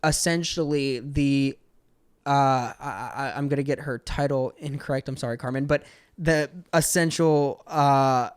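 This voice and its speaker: A man's voice: medium pitch, fairly even intonation, unhurried, smooth, no echo. A man in his twenties with an American accent, telling stories conversationally and earnestly as a podcast guest. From Georgia, USA. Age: 20s. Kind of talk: casual conversational storytelling.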